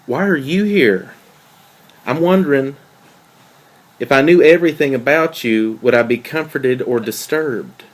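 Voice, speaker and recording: A man's voice: mid-range voice, a little slow, pauses often, natural voice. A man playing a jealous, hurt boyfriend in a dramatic table read, questioning in a pointed, sardonic way. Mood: suspicious, probing. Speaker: actor in a script table read.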